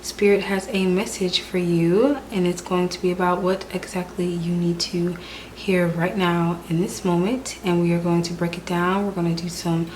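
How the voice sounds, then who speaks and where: A woman doing a spiritual tarot reading in a calm, repetitive way, sounding stuffy and hoarse from a cold. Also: fairly low pitch, moderate pace, a little hiss or hum, low-quality recording. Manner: calm, repetitive, soft-spoken delivery. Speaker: tarot reader.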